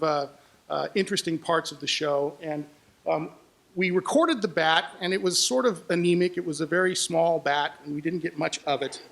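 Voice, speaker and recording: Higher-pitched man telling production stories. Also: gravelly, a bit bright. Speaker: audio drama producer giving a presentation. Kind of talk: informal storytelling to an audience. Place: live presentation.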